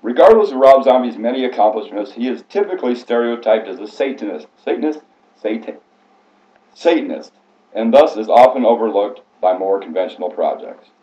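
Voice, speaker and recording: A man's voice: medium pitch, animated, fairly quick, warm tone. Man reading a speech in an echoey room. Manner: formal scripted delivery with occasional stumbles. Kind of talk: prepared biographical speech, read aloud. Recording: echoey room, slightly muffled sound.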